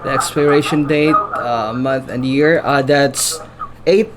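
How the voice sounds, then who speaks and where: A man from the Philippines with a Filipino accent talks casually and haltingly, a native Filipino speaker. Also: mid-range voice, heavy background noise. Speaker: vlogger. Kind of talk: casual conversation and commentary.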